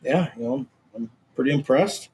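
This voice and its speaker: A man's voice: medium pitch, moderate pace, a little mellow, husky. A man in his twenties co-hosting a casual sports podcast, his voice a little scratchy, talking brashly with lots of fillers and swearing. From Minnesota. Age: 20s. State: slightly scratchy voice. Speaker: podcast co-host.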